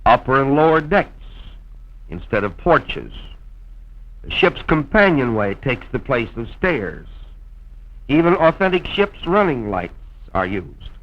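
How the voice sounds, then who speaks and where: A man's voice: mid-range voice, big pitch swings, unhurried, long pauses. A man speaking as narrator and announcer in the formal, scripted manner of mid-century radio. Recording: dull, lo-fi old film soundtrack.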